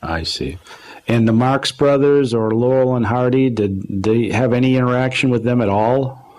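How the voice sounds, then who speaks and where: A man's voice: medium pitch, normal speed, darkish tone, low-quality recording. A man asking questions as an interviewer in a question-and-answer conversation.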